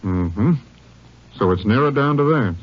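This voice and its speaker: A skeptical, cautious American lawman in charge, his voice raspy and lively in its rise and fall. It is western radio drama on a muffled old recording. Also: lowish voice, a little slow. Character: cautious, skeptical male Texas Ranger captain.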